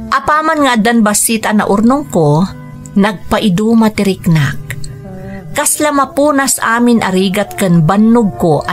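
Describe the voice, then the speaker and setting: A woman playing a weary, dutiful younger sister working abroad in a radio drama, earnest and plaintive, with an Ilocano accent. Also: on the low side.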